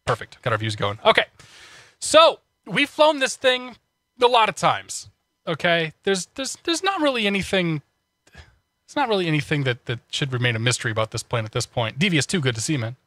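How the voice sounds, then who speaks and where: A man's voice: fairly high pitch, sing-song, brisk, fairly bright tone, no room sound, clean studio sound. A man streaming a flight sim, chatting casually with viewers, with a slightly congested voice and many restarts. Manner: chatty, frequent restarts, laughs often. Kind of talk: casual live-stream chat.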